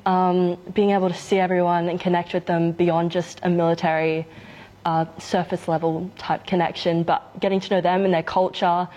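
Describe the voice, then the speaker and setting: A young Australian woman, a naval cadet, speaking in a friendly, relaxed way. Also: lowish voice, normal speed. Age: young adult. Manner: friendly, relaxed, light laughs.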